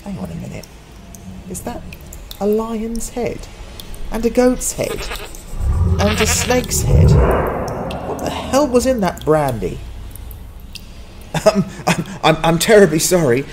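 Man acting a hapless, animated adventurer. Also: sits high, takes it slowly, pauses often, a little hiss or hum, exaggerated voice. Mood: startled, stammering. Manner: muttering to himself, stammering, sardonic. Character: hapless, wry male down-at-heel adventurer. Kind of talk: dramatized fantasy audiobook acting.

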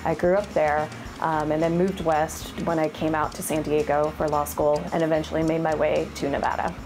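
Low-voiced woman in an office interview. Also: level tone, normal speed. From Rhode Island, USA. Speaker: county government affairs manager. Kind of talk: quick-answer interview. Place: office, indoors. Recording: background music underneath.

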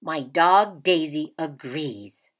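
Slow, animated woman reading to children. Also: low-pitched. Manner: expressive, addressing young children. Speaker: picture-book read-aloud narrator. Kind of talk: children's storybook read-aloud.

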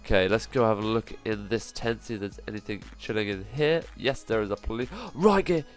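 Nasal, excitable gaming guy with a cold. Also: lowish voice, fairly quick, faint music behind. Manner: excitable, lots of exclamations. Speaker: gaming channel host. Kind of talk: gameplay commentary.

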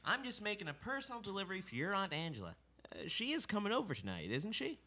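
High, lively mailman acted by a man. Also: quick pace, exaggerated voice. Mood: chipper. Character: chipper, proud male mail carrier. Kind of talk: live radio theater acting, scripted.

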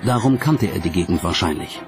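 A man reading scripted documentary narration in a measured, dramatic manner. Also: low-pitched, expressive. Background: music.

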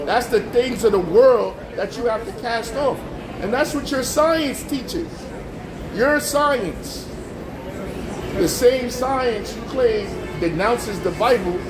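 A man preaching on the street, forceful and repetitive, firing rhetorical questions at passers-by. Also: extremely high pitch, animated, slow pace, echoey room, over music. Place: outdoors on a street with passing traffic.

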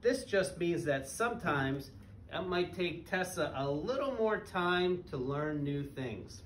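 A man's voice: a little high, animated, takes it slowly. A man in his thirties reads a picture book aloud to young children, using simple phrasing and putting questions to them as he goes. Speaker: parent guest reader at a school. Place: small elementary school classroom. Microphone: camera a few metres away, speaking through face mask. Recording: roomy, distant mic with background noise.

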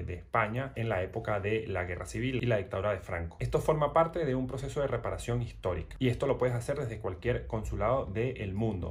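A man, chatting straight to the viewer as he explains a process step by step, recorded close at home. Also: mid-range voice. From Venezuela. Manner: chatty and direct, addresses the viewer as you, repeats himself. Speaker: YouTuber explaining a legal process. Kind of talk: casual explainer to camera. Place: small indoor room at home. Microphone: camera or phone close in front.

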